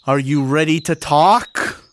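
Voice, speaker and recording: A man's voice: on the high side, slow, a little hiss or hum. A man playing an awkward, easygoing teenage boy in an audio drama, casual and hesitant.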